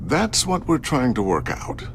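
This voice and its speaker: Game role: wry, protective father and inventor, middle-aged, gravelly, mid-pitched with lively intonation. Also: a little slow, slight background noise. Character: seasoned fatherly tech expert, wry and protective. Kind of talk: video game voice acting.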